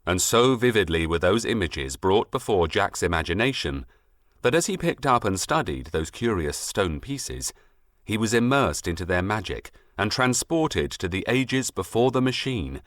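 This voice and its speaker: Expressive low-voiced man reading a story. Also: no room sound, clean studio sound. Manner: expressive, literary, measured storytelling delivery. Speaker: audiobook narrator. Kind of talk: scripted storybook narration.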